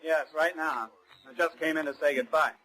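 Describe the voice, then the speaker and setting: A sharp-voiced, bitter young suitor. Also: male voice, fairly high pitch, steady tone, moderate pace, rough recording. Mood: defensive. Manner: brash, resentful. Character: bitter, defiant young suitor of a rich woman. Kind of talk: 1940s radio acting. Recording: restored 1940s radio drama recording.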